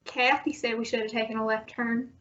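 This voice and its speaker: Through a muffled, noisy recording comes an old-time radio housewife and mother played by a woman. Her voice is high, and she is earnest, fretful and gently reproving. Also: little pitch movement, fairly quick, natural voice. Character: earnest, gently scolding suburban wife and mother. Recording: muffled recording with clear noise.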